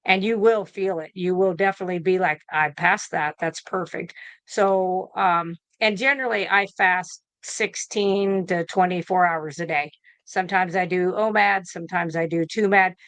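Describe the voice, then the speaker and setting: A woman's voice: on the low side, fairly even intonation, normal speed, fairly bright tone, no echo. A woman in her fifties, a nurse answering questions in a casual video-call interview, rambling with fillers and restarts. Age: 50s. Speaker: guest nurse.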